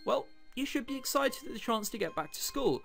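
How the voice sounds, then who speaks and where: Man reading as a placating grown-up, lively intonation. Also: extremely high pitch, a little fast, creaky, soft background music, voice pushed up, full character voice. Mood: reassuring. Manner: reassuring, explanatory. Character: earnest, placating adults: a father and a doctor. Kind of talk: visual novel dialogue reading.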